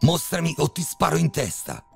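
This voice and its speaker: A man doing a brutal criminal father in a dark horror tale, all shouted threats and vulgar fury. The voice climbs high and tight over a gravelly base, with faint music underneath. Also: huge pitch swings, moderate pace, full character voice. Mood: enraged. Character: furious brutal middle-aged criminal father.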